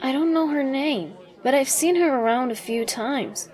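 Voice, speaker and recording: A woman's voice: sits high, moderate pace, a little mellow, lightly acted. A woman voicing a caring female snow fox who runs a shelter, casual and concerned, in a comic dub.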